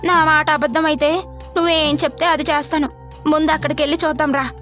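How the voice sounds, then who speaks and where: Village gossip for a moral tale, played by a female narrator: high-pitched, spiteful, scolding, accusatory, light music underneath. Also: flat delivery, brisk, fairly bright tone. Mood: insistent.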